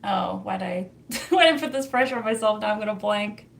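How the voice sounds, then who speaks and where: A woman's voice: mid-range voice, lively intonation, normal speed, gravelly. A young Canadian woman with a Canadian accent, hosting a casual livestream, chatty and laughing often as she answers viewer comments. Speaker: livestream host reading chat.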